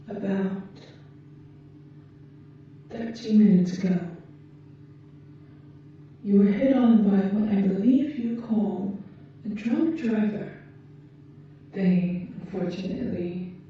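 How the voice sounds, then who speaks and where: A woman voicing a calm, caring female alien in an ASMR roleplay, speaking gently and formally in measured phrases to a patient. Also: mid-range voice. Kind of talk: ASMR roleplay, scripted monologue to the listener. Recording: muffled, echoey, distant, faint noise.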